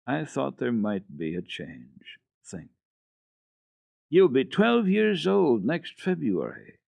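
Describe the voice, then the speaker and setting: A man voicing a gentle, mournful old blind grandmother with a plaintive delivery, in a storybook reading. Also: mid-range voice, wildly swooping pitch, slow pace, gravelly, no echo, dull audio, voice pushed up, heavily acted. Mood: wistful.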